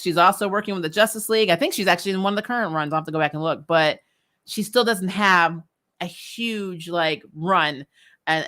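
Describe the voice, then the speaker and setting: A woman's voice: on the low side, bright, forceful tone. A woman hosting a recap show, giving casual, opinionated episode commentary in an informal, wry way. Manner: informal and opinionated, with mild swearing and wry asides.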